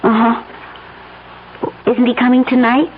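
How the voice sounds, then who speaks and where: An actress in a radio drama playing a solicitous, puzzled wife who speaks in coaxing, hesitant questions. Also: female voice, fairly high pitch, flat delivery, a little slow, natural voice. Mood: concerned. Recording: muffled, noisy old radio broadcast.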